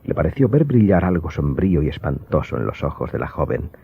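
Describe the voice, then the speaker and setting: A man's voice: a bass voice, big pitch swings, a little fast, gravelly, breathy edge, dry recording. A man narrating an audiobook drama from a script in a formal, literary manner. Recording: thin muffled phone-like sound, faint music.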